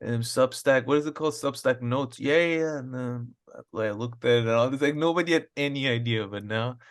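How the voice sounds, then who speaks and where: A man's voice: medium pitch, a little fast. A man co-hosting a comedy podcast, chatting casually and easily, agreeing often and laughing between his lines. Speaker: podcast co-host.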